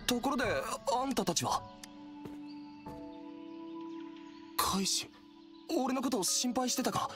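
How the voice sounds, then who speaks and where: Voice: medium pitch, expressive, moderate pace, over music, lightly acted. A performer playing an earnest, defiant young man in a video game, speaking with impassioned feeling. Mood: relieved. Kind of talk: Japanese video game voice acting.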